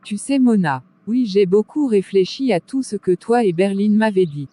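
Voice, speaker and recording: Cartoon drama role: actress as firm, devout young woman, soft mellow tone, earnest preaching manner. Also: mid-range voice, expressive, normal speed, close to an everyday voice. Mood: polite.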